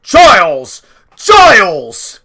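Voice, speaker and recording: A man's voice: high-pitched, wildly swooping pitch, drawn-out words, sharp tone, breathy edge, voice pushed up, heavily acted. A man voicing an imperious, hot-tempered old lord and doting grandfather in a storybook, blustering when crossed. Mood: loud outrage.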